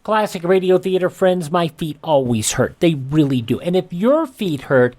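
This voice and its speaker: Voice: medium pitch, lively intonation, normal speed, a little mellow. A radio host reading scripted segments in a folksy way, dropping in personal asides.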